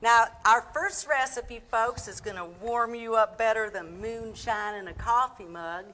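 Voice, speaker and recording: Casting: actress as chatty, homespun Midwestern housewife and comic cooking host, forward bright tone, upper-register voice, live recording. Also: female voice, on the high side, unhurried. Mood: folksy, instructive. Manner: folksy, addresses audience as folks and y'all. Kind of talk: live comedic cooking demonstration.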